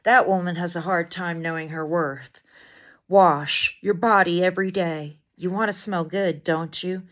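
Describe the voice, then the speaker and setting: A woman reciting spoken-word poetry in deliberate short phrases with dramatic emphasis, as a poet reading another writer's work. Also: on the low side, steady tone.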